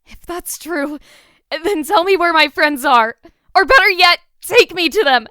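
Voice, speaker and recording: A frightened young woman in an audio drama, played by an actress. Her voice is high and bright, with a very dry, close sound. She comes across as wary, nervous and pleading, but determined. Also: expressive, measured pace, no echo, full character voice. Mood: nervous, demanding.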